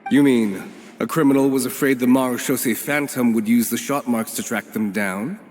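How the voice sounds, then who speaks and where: A man's voice: medium pitch, moderate pace, darkish tone, slight background noise, natural voice. A man playing a guarded, cynical underworld figure in an anime game, dry and knowing in his delivery. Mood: suspicious.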